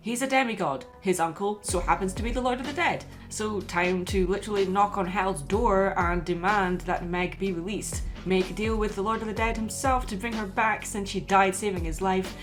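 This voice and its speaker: A woman's voice: mid-range voice, normal speed, hardly any pauses. A woman host reading a scripted video essay in an opinionated, emphatic way. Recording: music playing behind the voice.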